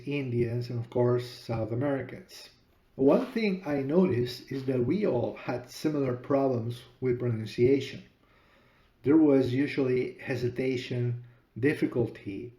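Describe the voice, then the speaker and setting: Man, Spanish accent, native Spanish speaker, from Peru, middle-aged, podcast host, deliberate monologue, echoey room. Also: mid-range voice, dark tone. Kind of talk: storytelling monologue.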